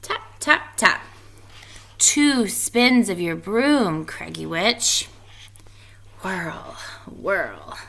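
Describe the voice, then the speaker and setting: A woman voicing a small, polite little monster who gives careful, slow instructions in a children's storybook. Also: mid-range voice, big pitch swings, gravelly, bright, forceful tone, heavily acted. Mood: earnest. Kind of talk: children's storybook read-aloud.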